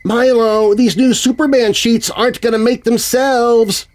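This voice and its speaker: A man's voice: extremely high pitch, fairly even intonation, measured pace, pitched above the performer's own voice. A man voicing a playful, teasing adult woman, a friendly girlfriend in a children's horror story. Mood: playful, calling out.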